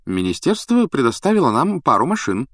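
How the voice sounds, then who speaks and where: A serious, sensible middle-aged father, as a male storyteller plays him. He speaks matter-of-factly with lively pitch movement, lifted above a low narrating voice. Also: a little fast, no room sound, clean studio sound. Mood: casual. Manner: grave, matter-of-fact.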